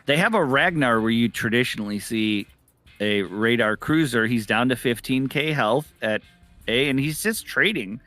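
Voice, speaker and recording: A man casting an esports match live, with excitable, exclamatory commentary on the play as it unfolds. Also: mid-range voice, normal speed, soft background music. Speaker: esports caster.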